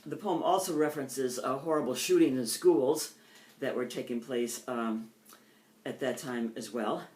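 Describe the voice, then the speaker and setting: An older woman with an American accent, a poet reading her own poems in deliberate phrases and introducing them informally. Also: a bass voice, a little mellow. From United States.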